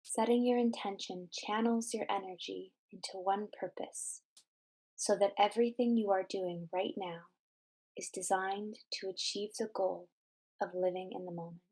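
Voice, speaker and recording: A woman narrating a scripted guided meditation in a measured, deliberate, instructional way. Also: medium pitch, fairly even intonation, mellow, poor audio.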